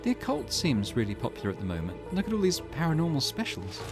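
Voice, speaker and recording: A man's voice: fairly high pitch, huge pitch swings, fast, over music, pitched above the performer's own voice. A man voicing a weary, grieving middle-aged mother from a visual novel, reading her old-fashioned, wistful thoughts aloud. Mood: reflective.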